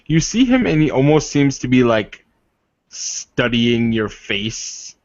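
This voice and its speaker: A man improvising tabletop game narration as game master, in a halting, colloquial way. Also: medium pitch, very animated. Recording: muffled, echoey room recording.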